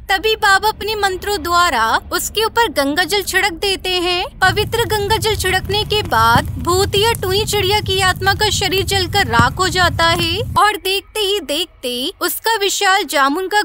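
Female cartoon story narrator, extremely high and bright, scripted delivery. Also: a little hiss or hum.

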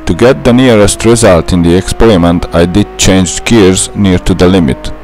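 A man's voice: deep voice, moderate pace, darkish tone, soft background music. A young man with a Hungarian accent, a native Hungarian speaker, narrating a gameplay tutorial in a careful, methodical way. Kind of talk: scripted gameplay voice-over.

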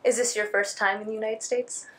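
A woman's voice: mid-range voice, little pitch movement, fairly quick, a bit bright. A teenage girl interviewing a fellow student, asking questions and encouraging her with frequent "mm-hmm"s. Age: teens. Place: school studio set, indoors.

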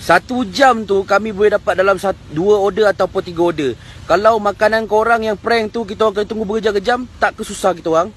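A man's voice: sits high, fast talker. A young man with a Malaysian accent, making an earnest, pleading, plain-spoken appeal into a phone outdoors. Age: young adult. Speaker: food delivery rider. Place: outdoors by greenery, wearing helmet. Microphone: phone at arm's length. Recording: outdoor phone clip, faint noise.

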